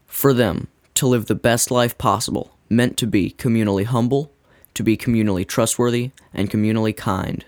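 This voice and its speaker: A man reading a scripted devotional in a measured, earnest way. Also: on the low side, no room sound. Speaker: devotional reader.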